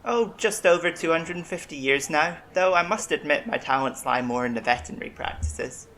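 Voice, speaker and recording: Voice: mid-range voice, animated, fairly quick, a bit bright, slight background noise. An actor playing a centuries-old, eccentric alien medic in a science-fiction audio drama, polite, eager and talkative with learned diction. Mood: chatty, reflective.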